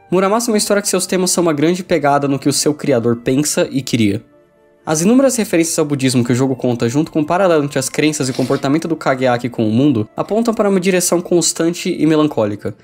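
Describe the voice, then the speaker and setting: A man's voice: on the high side, a little mellow. A man with a Brazilian accent reading a scripted review as a video essay narrator. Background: background music.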